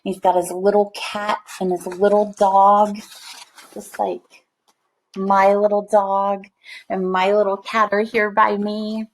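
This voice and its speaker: Female storybook reader, unhurried, expressive, mid-pitched, chatting to young listeners between passages. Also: rough recording. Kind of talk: animated read-aloud of a children's picture book with chatty asides.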